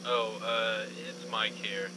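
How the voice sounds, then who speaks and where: A man's voice: lowish voice, level tone, takes it slowly. An actor playing a helpful, easygoing tradesman leaving a casual voicemail in a game. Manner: casual, obliging. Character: helpful, easygoing tradesman, a security door installer. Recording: noisy, humming answering machine message.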